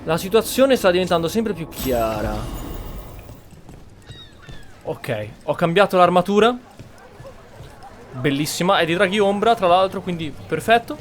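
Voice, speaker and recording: An Italian man with a slightly high voice commentates casually on a video game. He muses aloud and echoes the dialogue, with game music playing behind him. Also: animated, fairly quick. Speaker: gameplay streamer.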